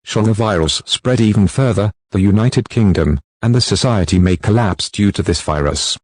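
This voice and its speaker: A man with a low voice reads a scripted emergency announcement. His delivery is formal, measured and impersonal, like an official alert. The sound is dry, with almost no room in it. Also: a little mellow, no echo.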